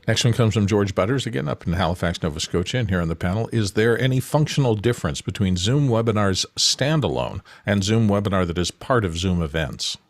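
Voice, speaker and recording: A man's voice: on the low side, animated, brisk, hardly any pauses, no room sound, clean studio sound. A man on a live panel show who reads out audience questions.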